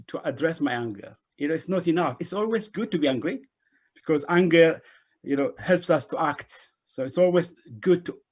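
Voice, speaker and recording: A man's voice: on the high side, darkish tone. A middle-aged man giving a conference presentation over a video call, repeating words and phrases to drive points home. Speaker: invited speaker, refugee organization director. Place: small indoor room, remote video call.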